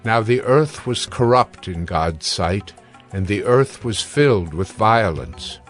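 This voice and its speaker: Slow, solemn low-voiced male narrator. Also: lively intonation. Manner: solemn, measured, formal. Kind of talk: scripted dramatized scripture narration. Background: music.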